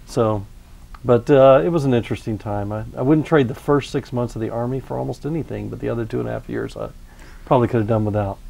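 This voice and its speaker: A man's voice: medium pitch, quick pace, creaky, warm tone. Wry older man reminiscing. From Houston, Texas. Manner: easygoing and wry, dry self-deprecating humor. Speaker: guest game developer. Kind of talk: relaxed personal storytelling. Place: university lecture room.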